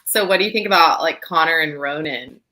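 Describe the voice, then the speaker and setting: A woman's voice: low-pitched, a little fast, pushed and bright, low-quality recording. A woman hosting a casual book chat, leading the discussion in an informal, conversational style.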